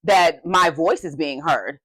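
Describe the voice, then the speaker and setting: A young adult woman co-hosting a podcast, talking in an emphatic, passionate way with a loud voice that carries. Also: low voice, takes it slowly, no echo. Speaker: podcast co-host. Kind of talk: casual podcast discussion.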